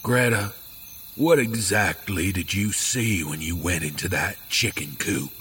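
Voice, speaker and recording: An actor playing a grim, haunted middle-aged father in a horror audio drama, speaking gravely and haltingly. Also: low voice, pushed and bright, a little hiss or hum. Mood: wary, probing. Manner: slow, grave, halting delivery. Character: grim, haunted father confiding a dark family secret.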